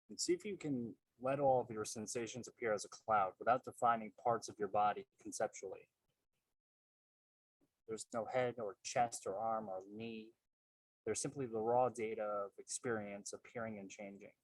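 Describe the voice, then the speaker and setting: A man leading a guided meditation as coach and host, calm and measured, with a husky voice and unhurried pauses. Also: fairly low pitch. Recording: roomy, distant-sounding microphone.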